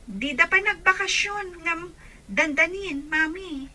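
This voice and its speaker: High-voiced, earnest young daughter. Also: female voice, measured pace, sharp tone, exaggerated voice, low-quality recording. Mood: tender. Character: dutiful young stepdaughter, gentle, earnest and anxious. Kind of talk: Ilocano radio drama acting.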